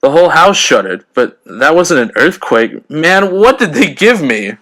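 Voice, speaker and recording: A man's voice: fairly high pitch, sing-song, measured pace, a bit bright, slight background noise. A man playing a dreamy, philosophical teenage boy in an audio drama. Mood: startled.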